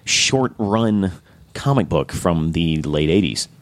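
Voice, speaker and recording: A man with a deep, lively voice hosts a casual podcast, slightly hoarse and eager. He rambles through his points with plenty of fillers and restarts. The sound is dry and close. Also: takes it slowly, dry recording. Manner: enthusiastic. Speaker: podcast host.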